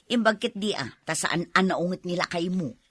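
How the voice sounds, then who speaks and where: A woman's voice: lowish voice, moderate pace, a bit bright, breathy edge, no echo. A woman playing a weary but feisty put-upon wife and mother in a radio drama, with an Ilocano accent and a complaining, sighing manner. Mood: weary, friendly.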